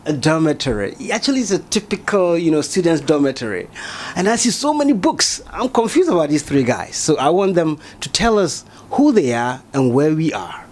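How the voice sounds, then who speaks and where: A man's voice: on the high side, very animated, moderate pace, darkish tone. A man hosting a casual interview in a dormitory room, chatty and addressing his guests informally. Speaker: interviewer, host. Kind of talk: casual on-camera student interview.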